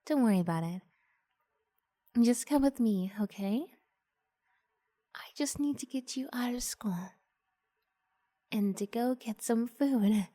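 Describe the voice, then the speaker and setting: Woman playing a breathy, coaxing young vampire girl. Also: a little high, no echo, studio quality. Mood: awkward, coaxing. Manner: hushed, halting, often slipping into breathy whisper. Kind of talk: ASMR roleplay, improvised.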